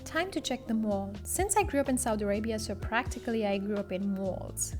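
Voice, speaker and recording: A woman's voice: mid-range voice, fairly quick, over music. A woman from Pakistan who teaches English, chatting casually and straight to the viewer in her vlog. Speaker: vlogger.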